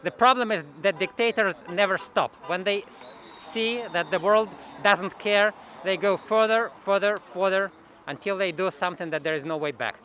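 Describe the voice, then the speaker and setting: A man's voice: high voice, normal speed, fairly bright tone, slight background noise. A man from the former Soviet Union with an Eastern European accent, explaining his views hesitantly in a street interview. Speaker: rally participant giving an opinion. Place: outdoors at a city rally in Canada.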